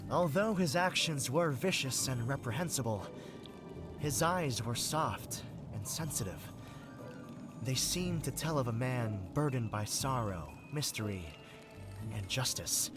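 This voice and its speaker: An actor playing a pompous, theatrical would-be novelist in a video game, with florid, melodramatic delivery. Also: male voice, medium pitch, animated, measured pace, lightly acted. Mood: grandiose. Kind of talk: video game character dialogue. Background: game music.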